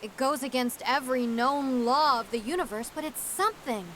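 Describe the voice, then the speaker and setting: An actress playing a strong-willed, idealistic scientist in an audio drama, firm and pointed, with a wounded edge. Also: female voice, high-pitched, normal speed, fairly bright tone, a little hiss or hum. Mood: urgent, reproachful.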